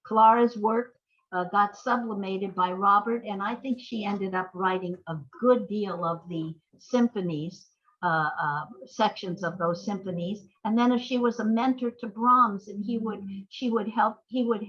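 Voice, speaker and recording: An older woman talking as an interview guest, telling stories conversationally in halting, reflective phrases. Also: mid-range voice, a bit bright, dull audio. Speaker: playwright and screenwriter guest.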